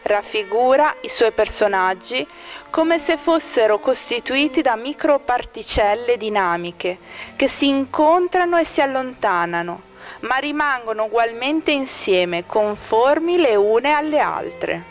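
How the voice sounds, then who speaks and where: A woman reading a scripted art-exhibition commentary in a measured, formal manner. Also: fairly high pitch, animated, faint music behind. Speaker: exhibition narrator. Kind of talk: scripted art-critic narration.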